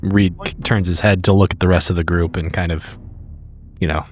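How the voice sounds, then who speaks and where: A man's voice: deep voice, fairly even intonation, fairly quick. A man playing a tabletop horror game, casually improvising narration of what his character does.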